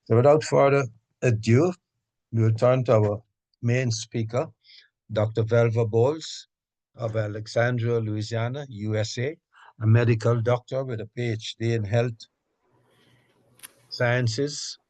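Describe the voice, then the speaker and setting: A man chairing a political meeting, speaking off the cuff in a halting, measured way. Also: mid-range voice, steady tone, darkish tone. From Guyana. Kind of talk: extemporaneous political meeting remarks.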